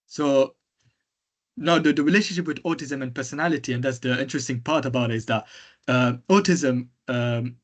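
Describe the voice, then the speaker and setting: Loud, hesitant man presenting a talk. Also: medium pitch. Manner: hesitant, many fillers. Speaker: conference speaker.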